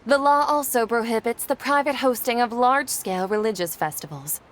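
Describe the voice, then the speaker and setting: A woman's voice: on the high side, fairly quick, lightly acted. A woman playing a stern, officious official in a video game, formal and coldly authoritative. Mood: cold, warning. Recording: soft music behind.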